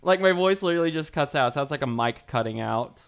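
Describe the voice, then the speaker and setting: A man's voice: on the high side, lively intonation, fast, gravelly, smooth. Chatty young man with a sore throat. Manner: rambling, chatty, greets chat, repeats himself. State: sore dry throat, voice a bit deeper. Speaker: art livestreamer. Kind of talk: casual livestream chat.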